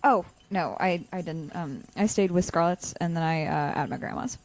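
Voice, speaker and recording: An actress playing an awkward, studious young chemistry student in an audio drama, hesitant and prone to stammering. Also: female voice, fairly low pitch, normal speed, darkish tone, natural voice, poor audio. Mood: flustered.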